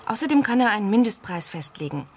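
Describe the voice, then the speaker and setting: An actress playing a composed, precise online-auction expert in a radio crime drama, explaining patiently and with assurance. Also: female voice, mid-range voice, big pitch swings, fast, a little hiss or hum, natural voice. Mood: explanatory.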